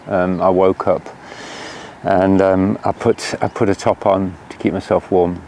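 An older man chats to a camera set a little way off by a lakeshore. His voice sits low with a gravelly edge, and he speaks in an unhurried, halting way full of fillers. Faint outdoor ambience sits under the voice. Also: flat delivery, a little mellow, a little hiss or hum. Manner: unhurried, hesitant with many fillers. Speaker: cycling vlogger. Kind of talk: casual outdoor vlog. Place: lakeshore campsite outdoors by mountains. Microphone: camera at a distance.

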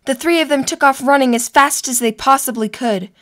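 A woman's voice: high voice, brisk, a bit bright. A woman narrating a scripted story for an audio drama.

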